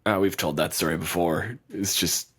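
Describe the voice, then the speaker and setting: Podcast co-host, male, lowish voice, profane and ribbing, loose rambling delivery. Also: normal speed, breathy edge. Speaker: podcast co-host and game master. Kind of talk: casual banter. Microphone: remote recording over video call.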